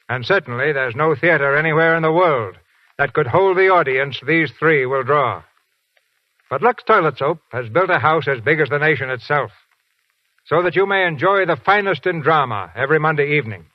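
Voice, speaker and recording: Man, radio theater host: stately, formal announcing, slightly higher voice, dry close sound, muffled old broadcast. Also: no room sound. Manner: polished, stately presentation.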